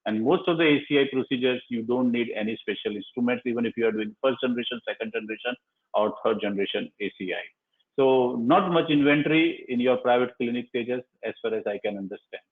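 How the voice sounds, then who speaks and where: A man with an Indian accent moderates a live online discussion, answering audience questions in a measured, explanatory way. His voice sits at a middling pitch and pauses now and then. The sound is narrow and phone-like, as on an internet call. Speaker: surgeon moderating a question session. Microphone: online video call. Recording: muffled, narrow-band internet call audio.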